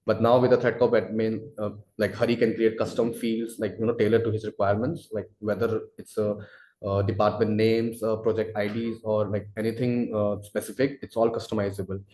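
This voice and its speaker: A man presenting a software product in a webinar, with a halting, informal delivery full of verbal tics. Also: fairly low pitch, little pitch movement. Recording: echoey room sound.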